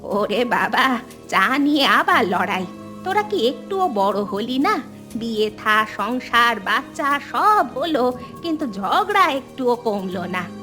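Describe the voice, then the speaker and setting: Woman voicing a measured elderly village grandmother, music behind. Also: a little high, sing-song, sharp tone, full character voice. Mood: chiding. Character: elderly village mother and grandmother, patient, wise peacemaker. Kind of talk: animated family drama dialogue.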